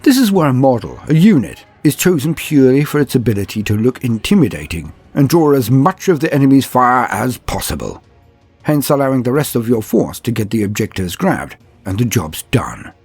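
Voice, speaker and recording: A man's voice: medium pitch, very animated, fairly quick, a little mellow, slightly rough, no echo, soft background music. A man hosting and narrating scripted fantasy wargame lore in a theatrical, florid, formal manner. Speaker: host and narrator of a tabletop wargame lore channel.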